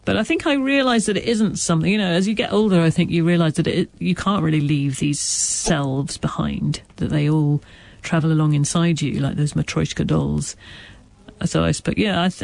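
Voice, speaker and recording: A woman's voice: low-pitched, gravelly, darkish tone, dry recording. A woman from Wales with a stammer, an author answering interview questions in a thoughtful way, searching for her words. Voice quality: has a stammer. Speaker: author guest.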